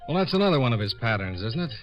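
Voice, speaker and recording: An American actor playing a sardonic, hard-boiled insurance investigator in 1950s radio noir style, clipped, dry and cynical. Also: male voice, medium pitch, very animated, very fast, faint music behind, close to an everyday voice. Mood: skeptical, cool. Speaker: lead actor and narrator in a radio detective drama. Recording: muffled vintage radio recording.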